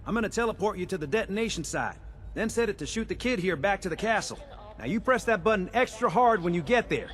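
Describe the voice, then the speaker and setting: A man's voice: high voice, lively intonation, quick pace, a bit bright. A man playing a casual, folksy handyman ally in a video game. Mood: easygoing, urgent. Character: easygoing folksy young handyman ally operating a teleporter.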